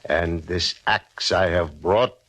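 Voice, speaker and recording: An actor playing a solemn, terse husband plotting murder for money in a 1950s radio drama. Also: male voice, low-pitched, slow, fairly bright tone, heavily acted. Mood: scheming.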